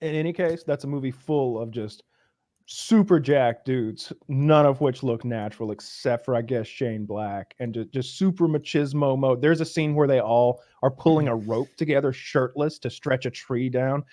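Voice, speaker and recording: A man co-hosting a podcast, telling a story in a loose, animated way and acting out moments as he goes. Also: medium pitch, moderate pace, no room sound, clean studio sound. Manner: animated storyteller, acts out bits. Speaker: podcast co-host. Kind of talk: casual chat.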